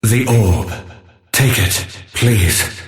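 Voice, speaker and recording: A man's voice: fairly low pitch, extremely slow. A man voicing a solemn prophetic sage in a fantasy game, with grave, archaic, formal declamation. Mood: solemn, portentous. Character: solemn, ancient-sounding prophetic male sage awaiting the hero. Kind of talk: scripted fantasy game dialogue. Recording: roomy echo, distant from mic.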